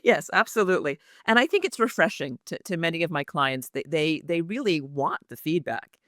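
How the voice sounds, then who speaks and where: A woman's voice: deep voice, expressive, brisk, fairly bright tone, no echo, studio quality. A woman executive communication coach speaking as an interview guest in an earnest, reflective way.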